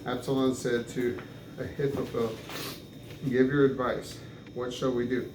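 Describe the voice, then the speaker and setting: A man reading scripture aloud in a halting way, stumbling over names and restarting. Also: medium pitch, level tone. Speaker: Bible reader. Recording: echoey room with clear background noise.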